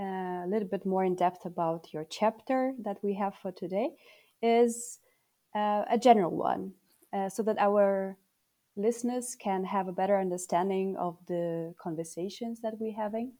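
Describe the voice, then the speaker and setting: A woman's voice: medium pitch, a little slow, warm tone. A woman hosting an interview, friendly and laughing at times, with some fillers as she frames her question. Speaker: interviewer, host. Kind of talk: podcast interview.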